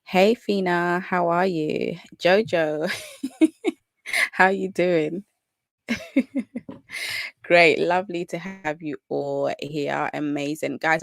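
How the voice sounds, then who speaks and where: A woman's voice: deep voice, vocal fry, no room sound, studio quality. Upbeat British woman hosting a live stream. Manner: chatty, upbeat, greets viewers by name. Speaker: natural hair educator hosting a live Q&A. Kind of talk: live stream chat with viewers.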